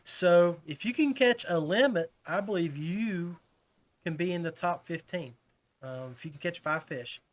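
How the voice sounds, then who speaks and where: A man's voice: sits high, a little slow. A man hosting a fishing podcast in casual chat, talking informally like a buddy. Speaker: podcast host.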